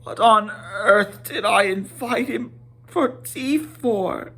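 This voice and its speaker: A man voicing a fussy, flustered, excitable little hobbit gentleman in a storybook reading, polite, rambling and full of exclamations. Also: high voice, slow, breathy edge, voice pushed up, exaggerated voice. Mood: regretful.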